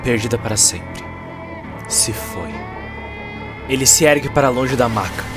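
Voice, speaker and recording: Male narrator for cyberpunk audio fiction, average pitch, dramatic scripted read over a music bed. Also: unhurried. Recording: music behind the voice.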